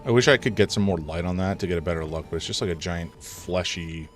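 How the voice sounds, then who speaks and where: A man live-streaming a horror game, rambling through his commentary, chatting with viewers, and reacting loudly to scares, a little congested. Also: sits low, fast, faint music behind. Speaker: gaming streamer.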